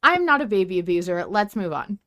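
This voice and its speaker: A woman hosting a podcast in casual, animated chat, swearing freely. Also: mid-range voice, fairly quick, crowd noise. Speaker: podcast host.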